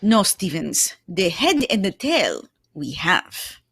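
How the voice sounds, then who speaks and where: A woman's voice: on the low side, big pitch swings, takes it slowly, gravelly, a bit bright, husky, no echo, exaggerated voice. A young woman playing a fussy, self-assured Belgian male detective in a radio mystery reading. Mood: composed, wry. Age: young adult. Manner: theatrical, courteous, precise. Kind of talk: live reading of old-time radio mystery script.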